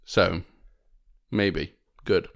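A man's voice: low voice, drawn-out words, gravelly. A man co-hosting a podcast in casual chat, playful and teasing, at one point singing a silly jingle. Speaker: podcast co-host.

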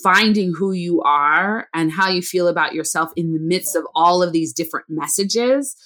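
A woman's voice: lowish voice, normal speed, fairly bright tone. A woman hosting an interview, affirming and reflective, with many "you know"s. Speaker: host.

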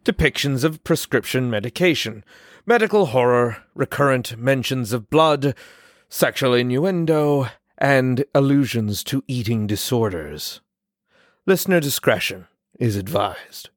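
A man's voice: mid-range voice, expressive, unhurried, no echo, clean studio sound. A man reading a scripted notice as an announcer. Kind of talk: scripted narration.

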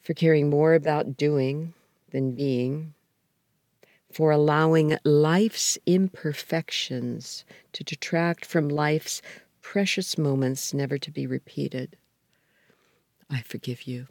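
An older woman reading her own reflective poem in a measured, deliberate way, with pauses between phrases. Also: sits low, fairly even intonation, darkish tone, dry recording, clean studio sound. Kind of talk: slow reflective poetry reading.

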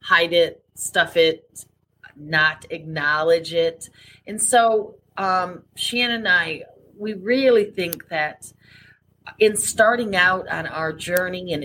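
A woman's voice: fairly low pitch, a bit bright, a little hiss or hum, rough recording. A woman co-hosting a podcast in casual chat, stuffy with sniffles and talking in a rambling way with restarts. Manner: rambling, chatty, frequent restarts. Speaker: podcast co-host.

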